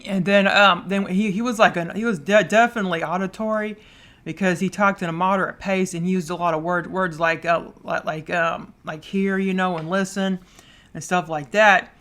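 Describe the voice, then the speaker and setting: A woman hosting a solo podcast in a casual way, loud and fast, with frequent stumbles and repeated words. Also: fairly low pitch, fairly even intonation. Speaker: podcast host.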